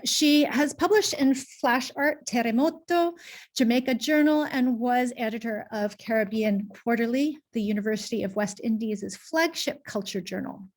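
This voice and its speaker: A woman chairing an academic panel, giving formal introductions in a halting way with frequent pauses. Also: fairly high pitch.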